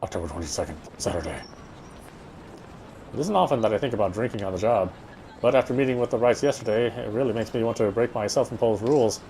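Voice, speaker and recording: A man's voice: fairly low pitch, quick pace, warm tone, a little hiss or hum, low-quality recording. A man reading visual novel narration aloud, sometimes stumbling and going back over phrases. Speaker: game streamer reading aloud.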